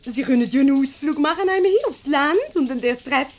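A woman's voice: high voice, lively intonation, fast talker, soft background music. A woman playing a meddling switchboard operator in a Swiss German radio comedy, speaking in a pointed, officious, roundabout way. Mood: eager, businesslike. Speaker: radio play actor.

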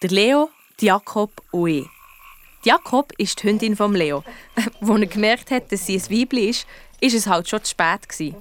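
A woman's voice: medium pitch, expressive, moderate pace, close to an everyday voice. A woman playing a young girl detective, narrating a children's radio play colloquially with a Swiss German accent. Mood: storytelling. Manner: colloquial, wry. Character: Lisa, wry young girl detective telling her adventure.

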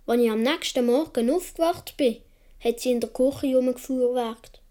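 A woman's voice: high-pitched, moderate pace, mellow, close to an everyday voice. A woman with a Swiss accent playing a naive young boy of seven or eight, telling his story in a radio play. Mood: wistful, matter-of-fact.

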